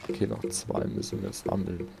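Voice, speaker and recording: A man's voice: deep voice, sing-song, normal speed, vocal fry. A man with a southern German accent giving casual, colloquial gameplay commentary, muttering to himself as he goes. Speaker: let's play host. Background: game music.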